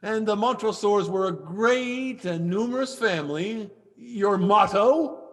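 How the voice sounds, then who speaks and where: A man's voice: sits high, measured pace, a bit bright. A man playing a proud, stubborn drunken reveler with a cold, speaking with theatrical bluster in a period drama. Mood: boastful disbelief. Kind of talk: theatrical period drama acting over video call. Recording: long reverberant echo, music behind.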